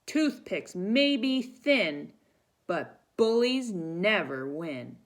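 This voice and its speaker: A woman voicing an upset, picked-on but plucky young schoolgirl in a storybook, with slow, emphatic words. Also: medium pitch, sing-song, pitched above the performer's own voice, full character voice. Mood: bold, defiant.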